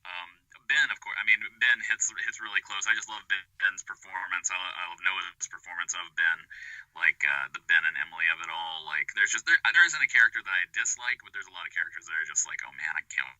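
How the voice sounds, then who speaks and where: Podcast guy guest chatting casually, lowish voice, super bright and forward, dry sound. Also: brisk, no room sound, rough recording. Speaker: podcast creator, interview guest. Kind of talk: casual chat.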